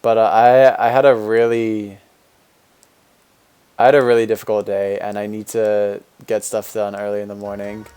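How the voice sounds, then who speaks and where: A man in his twenties with a deep voice, a game streamer talking casually with viewers while answering chat and rambling with fillers and restarts. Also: level tone, moderate pace, mellow, clean tone. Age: 20s.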